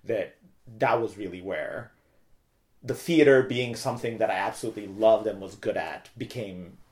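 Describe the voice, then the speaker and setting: Middle-aged male interview guest, lowish pitch, talkative and casual. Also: moderate pace, darkish tone. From Turkey. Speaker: interview guest, theater director. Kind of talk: casual personal storytelling in an interview.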